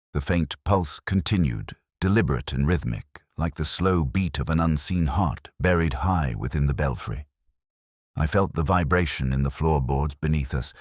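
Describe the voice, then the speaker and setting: A man narrating a dramatic mystery story with a measured, solemn, literary delivery. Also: rumbling low, dry recording, studio quality. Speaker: audiobook narrator.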